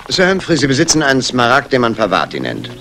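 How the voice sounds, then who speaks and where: An actor playing a polite, probing Scotland Yard inspector in a 1960s German crime radio play. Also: male voice, mid-range voice, wildly swooping pitch, fast talker, sharp tone, faint music behind, exaggerated voice. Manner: polite, questioning.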